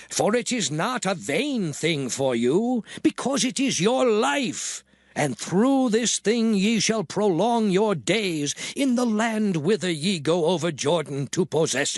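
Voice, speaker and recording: A man's voice: sits high, no echo, clean studio sound. A man playing Moses, an old prophet, giving a grave, declamatory address in an audio drama. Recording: music clearly underneath.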